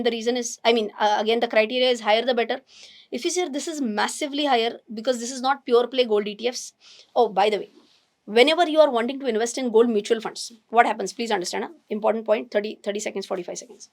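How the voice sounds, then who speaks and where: A woman with an Indian English accent teaches investing with animated emphasis, often restarting mid-sentence as she thinks aloud. Her voice is hoarse and strained. It sits fairly high. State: hoarse, nearly lost voice. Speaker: finance educator. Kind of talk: explaining investing to camera.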